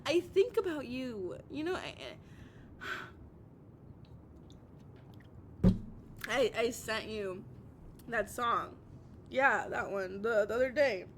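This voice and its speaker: Woman acting a high-voiced, heartbroken, obsessive young woman. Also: expressive, a bit bright. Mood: wounded, pleading. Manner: halting, stammering, repeating phrases, emotionally raw. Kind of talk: intimate audio roleplay monologue. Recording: noisy recording with low rumble.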